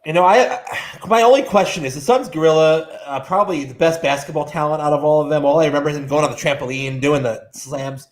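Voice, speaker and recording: A man's voice: fairly high pitch, quick pace. A man giving joking opinions in casual sports banter as a panelist on a talk show.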